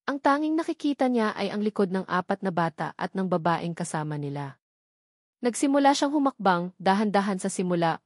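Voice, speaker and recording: A woman's voice: medium pitch, darkish tone, no echo, clean studio sound. A woman with a Filipino accent reads a dramatic romance story as scripted narration.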